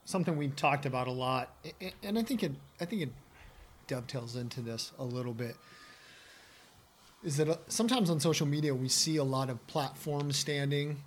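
Halting male host with faint background noise. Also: a little high. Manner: halting, stumbles on starts. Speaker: podcast host. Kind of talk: casual interview questions.

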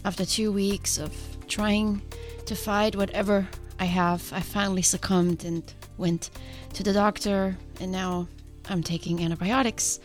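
A woman hosting her own podcast, talking in a calm, earnest and reflective way, with a congested voice from a sinus infection. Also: medium pitch, a little slow, faint music behind. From outside the country she now lives in. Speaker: podcast host. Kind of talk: reflective solo podcast monologue.

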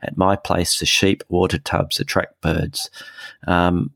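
A man's voice: low-pitched, flat delivery, slow, vocal fry, fairly bright tone, no echo. A man co-hosting a podcast, sounding congested from a cold, chatting casually with lots of ums and restarts. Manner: rambling, many ums and restarts. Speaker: podcast co-host. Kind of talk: casual chat. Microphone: podcast microphone.

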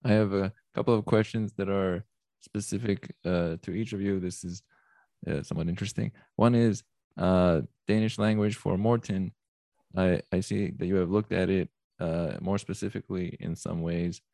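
A male host puts questions to his guests, pausing often on "uh." His voice is very deep and gravelly, dark in tone, and recorded dry and close. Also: fairly even intonation, no room sound. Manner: hesitant, with frequent "uh"s. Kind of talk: interview questions.

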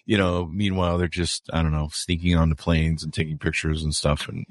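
A man's voice: rumbling low, flat delivery, brisk, dry recording, studio quality. A man hosting a podcast, talking casually with rambling asides and many fillers. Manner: rambling, chatty, many "you know" fillers. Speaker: podcast host. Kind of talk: casual interview chat with an ad read.

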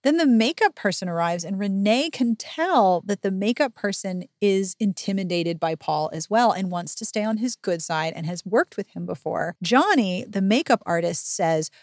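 A woman hosts a chatty book recap with plenty of emphasis and indignation. Her voice sits at a medium pitch and is recorded close and dry. She swears freely as she goes. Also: lively intonation, moderate pace, few pauses, no echo. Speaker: podcast host. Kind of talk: animated book recap chat.

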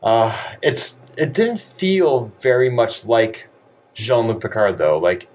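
A man's voice: fairly low pitch, darkish tone. A man co-hosting a podcast, chatting casually with wry, dry humor. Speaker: podcast co-host. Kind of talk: casual chat.

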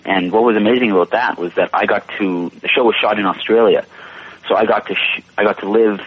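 A young Canadian man in his late teens is being interviewed. His voice is notably low and rough-edged, with polite, halting phrasing, and it comes through on a slightly dull, lo-fi recording. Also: steady tone. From Toronto, Canada. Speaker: interview guest, young actor. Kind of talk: casual interview.

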